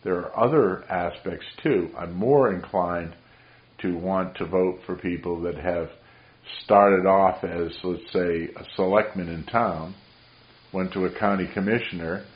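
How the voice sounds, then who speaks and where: A man's voice: sits low, measured pace. A man preaching a sermon in an earnest, rambling, preacherly way, with a voice he says carries far. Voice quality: carrying voice. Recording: dull roomy recording.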